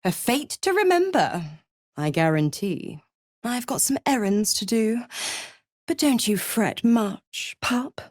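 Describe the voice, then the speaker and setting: A woman's voice: on the high side, big pitch swings, slow pace, a little mellow, exaggerated voice. A woman playing a seductive, scheming half-devil in a video game, smug and condescending, with a silky, theatrical delivery and mock sweetness. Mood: playful, sly. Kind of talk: scripted video game character acting.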